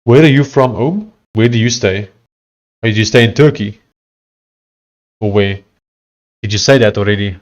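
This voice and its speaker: A man with a heavy Afrikaans accent talks casually to his livestream audience. His voice sits a little low, and he reads chat and on-screen text aloud in a halting, conversational way. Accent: South African, Afrikaans. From South Africa. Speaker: livestreaming coder.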